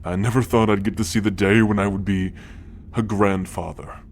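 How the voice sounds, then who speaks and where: A man voicing an ancient, godlike great-grandfather, wise and quietly commanding, speaking deliberately and affectionately in a scripted ASMR roleplay. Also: on the low side, fairly even intonation, lightly acted. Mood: gentle, fond. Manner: slow, deliberate, affectionate, with sighs and soft chuckles. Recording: music under the voice. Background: background music.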